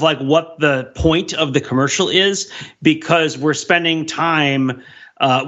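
Bright-voiced man analyzing on a podcast. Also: fairly high pitch, dull audio. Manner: discursive, analytical. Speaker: podcast panelist. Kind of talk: casual pop culture analysis.

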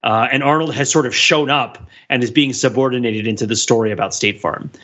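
A man's voice: mid-range voice, fairly quick, pushed and bright. A man talking casually and analytically as a podcast panelist, working through his points in a discursive way. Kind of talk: casual pop culture analysis.

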